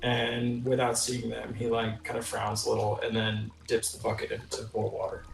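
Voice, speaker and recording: A man's voice: medium pitch, monotone, normal speed, gravelly, distant mic, a little hiss or hum. A man playing a tabletop game, improvising narration of what his character does. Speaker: player. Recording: roomy echo, away from the mic.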